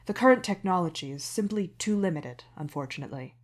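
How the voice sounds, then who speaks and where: A woman's voice: fairly low pitch, lively intonation. A woman voicing a broad-shouldered male alien troll engineer in an audio story, formal, precise and serious. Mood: formal, matter-of-fact. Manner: formal, precise, measured explaining. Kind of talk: podfic character acting.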